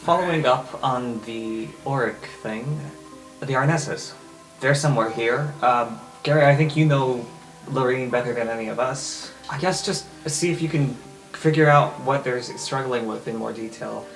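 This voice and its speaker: A woman's voice: a bass voice, normal speed. A woman chatting casually as a player in an improvised tabletop roleplaying game. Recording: roomy sound, faint music.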